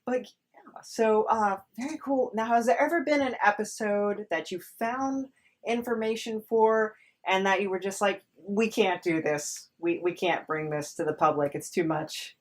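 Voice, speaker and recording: A woman's voice: mid-range voice, normal speed. A woman hosting a podcast in casual conversation, with a hesitant, wry way of talking. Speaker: podcast host. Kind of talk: casual interview chat.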